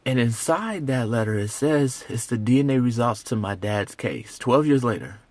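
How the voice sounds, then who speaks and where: A man performing a dramatic monologue as a grieving, bitter grown son of an executed father, with halting, emphatic repetition. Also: medium pitch, lively intonation, a little mellow, dry recording, lightly acted. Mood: bitter, anguished.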